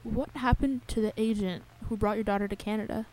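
A woman's voice: medium pitch, steady tone, a little fast, a little mellow, slight background noise, lightly acted, rough recording. An actress playing a grave, intent female interviewer in a radio play, speaking in a restrained and serious way. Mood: earnest questioning.

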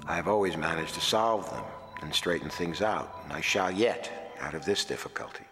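Very deep, tender husband. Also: male voice, normal speed. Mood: tender resolve. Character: devoted husband in trouble, tender and resolute. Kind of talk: reading a love letter in radio drama. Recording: long reverb with music behind.

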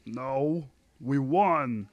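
A man's voice: medium pitch, very slow, no room sound, muffled recording. A man playing an insecure, whiny sitcom husband in an amateur table read, stammering and defensive. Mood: sullen.

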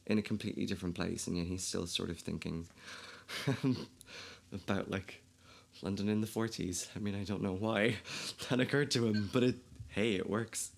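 Gravelly Irish man, chatty. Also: deep voice. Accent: Irish, Kildare. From Ireland. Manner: chatty, laughs often, swears casually. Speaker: podcast host. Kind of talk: casual chat.

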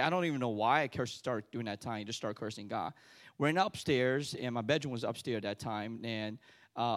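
A middle-aged church elder from Taiwan tells his story from the pulpit. His voice sits at an ordinary male pitch. He talks loosely and conversationally, stumbling and restarting as he goes. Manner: conversational, halting with frequent restarts and fillers. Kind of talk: sermon testimony.

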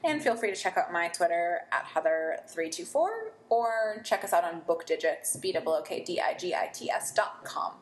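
A woman's voice: lowish voice, sing-song, moderate pace, hardly any pauses, reverberant. A woman co-hosting a casual book podcast, chatty and rambling, laughing easily as she talks. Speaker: podcast co-host.

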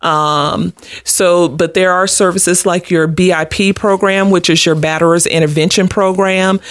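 A woman's voice: on the low side, steady tone, a little slow, no room sound. A middle-aged woman answering interview questions as an advocate, explaining things earnestly in a conversational way. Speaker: guest, domestic abuse advocate.

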